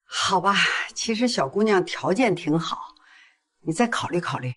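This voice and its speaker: A woman playing a brash, husky middle-aged woman. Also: fairly low pitch, expressive, normal speed. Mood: chummy. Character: brash middle-aged woman, defensive accomplice and busybody matchmaker. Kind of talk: audio drama dialogue.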